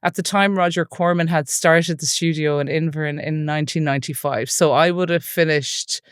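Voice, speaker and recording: A woman's voice: low voice, fairly even intonation, moderate pace, clear, resonant tone, no echo, studio quality. Chatty Irish woman telling stories. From west of Ireland. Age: 40s. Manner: chatty storytelling, many "like" and "you know" asides. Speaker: film producer, interview guest. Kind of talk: relaxed podcast interview.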